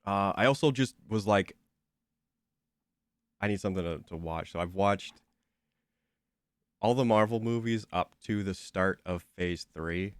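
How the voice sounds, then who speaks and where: A man's voice: lowish voice, a little slow, long pauses, smooth, no room sound. A young man with a stuffy, slightly nasal voice, chatting casually and rambling with lots of fillers while streaming a game. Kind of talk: casual chat while gaming.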